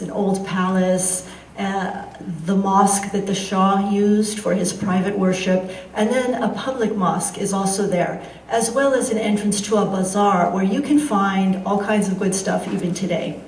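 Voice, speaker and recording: A woman author born in Iran and raised in the San Francisco area, giving a measured storytelling talk to students in a school library. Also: mid-range voice, darkish tone, slight background noise. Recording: distant, roomy school library.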